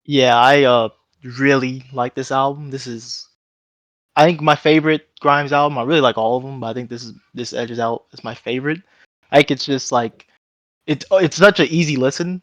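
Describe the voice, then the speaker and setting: A man's voice: mid-range voice, normal speed, no echo. A man with a mid-range voice talks easily about music, padding his thoughts with likes and you-knows. The recording is dry and close. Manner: relaxed, filler-heavy speech. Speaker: podcast co-host. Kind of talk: casual album review chat.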